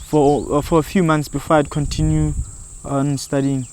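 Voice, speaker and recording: A man's voice: on the high side, little pitch movement, normal speed, darkish tone, a little hiss or hum. A young man, an environmental educator and guide, introducing himself in an earnest interview with small hesitations.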